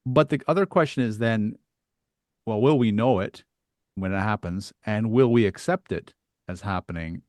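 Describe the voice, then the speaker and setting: A man with a fairly low voice hosts a conversational interview. He thinks aloud, hedging and circling toward his point. The sound is dry, with very little room in it. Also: moderate pace, a little mellow, no room sound. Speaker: podcast host and interviewer.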